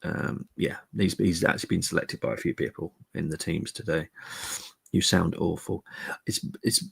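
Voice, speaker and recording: Gravelly low-voiced man, hushed. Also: fairly even intonation, normal speed, dry recording. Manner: keeping voice down, casual stats chat with viewers. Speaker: fan channel host. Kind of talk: live-streamed match preview.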